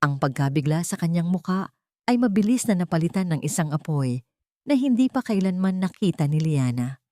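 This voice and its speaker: A woman reading a scripted love drama as a narrator, with emotive, deliberate phrasing. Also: fairly low pitch, big pitch swings, mellow, no echo, studio quality. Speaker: story narrator.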